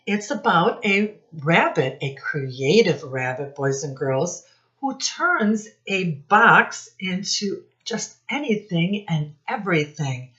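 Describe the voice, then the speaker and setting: A woman teacher speaking deliberately to young children in a read-aloud lesson, addressing them directly with questions. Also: on the low side, expressive, fairly bright tone. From United States. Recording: dull lo-fi sound.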